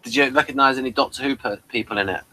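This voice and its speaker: A man's voice: mid-range voice, rapid-fire, sharp tone, poor audio. A man hosting a casual review discussion in an informal, conversational way. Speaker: host.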